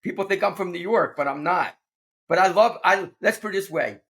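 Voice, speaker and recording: Upbeat guy hosting a podcast chat, kinda high voice, laughs a lot. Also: brisk, fairly bright tone. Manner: upbeat, laughs often, restarts sentences. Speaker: podcast host. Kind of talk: casual conversation.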